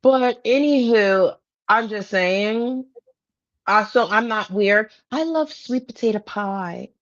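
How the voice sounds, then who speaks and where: A woman's voice: medium pitch, takes it slowly. A woman co-hosting a podcast in casual chat, teasing her co-host and playfully mimicking her. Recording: dull lo-fi sound.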